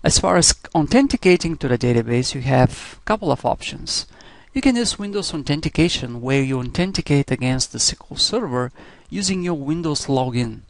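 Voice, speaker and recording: Male software instructor, creaky mid-pitched voice, step-by-step tutorial narration, dry close recording. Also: animated, a little fast, dry recording. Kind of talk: scripted screencast tutorial narration.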